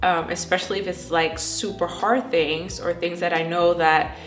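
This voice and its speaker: Young dutch woman chatting away, lower voice, lots of likes and ums, echoey room with faint music behind. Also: flat delivery, moderate pace. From Netherlands. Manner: chatty, frequent fillers like "like" and "um". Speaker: trichologist and YouTuber. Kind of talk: casual chat to camera.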